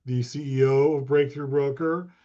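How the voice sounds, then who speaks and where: A man hosting a webinar, introducing his guest in a steady, even way. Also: mid-range voice, normal speed. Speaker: webinar host. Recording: dull, lo-fi webinar audio.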